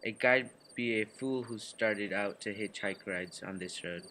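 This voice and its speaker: A man acting a chatty gas station attendant in a radio drama, with a bright, lowish voice. He is folksy and matter-of-fact, with faint crickets behind him. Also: unhurried, a little hiss or hum. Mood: incredulous, blunt.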